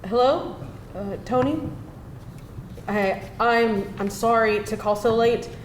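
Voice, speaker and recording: A woman's voice: fairly high pitch, slow. A woman playing a vulnerable, anxious granddaughter with an unsure, hesitant delivery in a live screenplay reading. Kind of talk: live screenplay table read. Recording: roomy live hall, faint noise.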